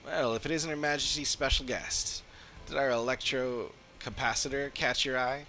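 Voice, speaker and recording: A man's voice: mid-range voice, steady tone, normal speed, bright, forceful tone, slight background noise, pitched above the performer's own voice. A man voicing a proud, eager machinery enthusiast from a game, explaining things chattily as he reads the dialogue. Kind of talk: reading game dialogue in character. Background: game music.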